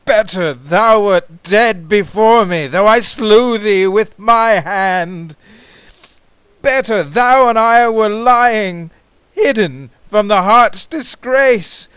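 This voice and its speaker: Male poetry reader, high voice, unhurried pace, theatrical and impassioned, smooth resonant tone, dramatic recitation. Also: no room sound. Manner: theatrical, impassioned, swinging between hushed and ringing.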